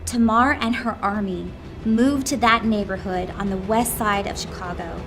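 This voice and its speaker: A woman with an average-pitched voice reads out a written tribute as narration. Music is plainly audible behind the voice. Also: normal speed. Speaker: reader of nomination stories. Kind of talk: scripted narration read aloud. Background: background music.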